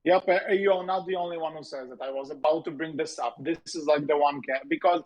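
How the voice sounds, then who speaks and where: Higher-voiced man podcasting through muffled audio. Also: steady tone, a little fast. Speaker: podcast co-host. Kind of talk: casual discussion of animated shows.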